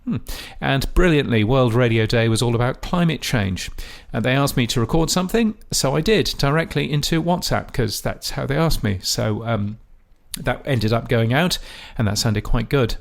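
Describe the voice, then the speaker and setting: A man hosting a podcast, reading scripted commentary in a measured, dry way with a gravelly voice. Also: medium pitch, expressive. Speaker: podcast host. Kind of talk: scripted radio-industry commentary.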